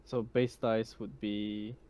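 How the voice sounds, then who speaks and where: A man's voice: lowish voice, level tone, takes it slowly, dark tone. A man chatting casually as a tabletop roleplaying player, unsure and checking the rules with others. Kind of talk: casual game chat.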